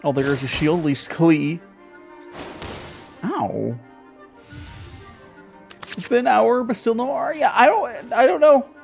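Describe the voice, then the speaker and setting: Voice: mid-range voice, expressive, takes it slowly, gravelly, pauses often, darkish tone, soft background music. A streamer talking casually while playing a card game, thinking aloud with many fillers and repeated words. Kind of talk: casual live gameplay commentary.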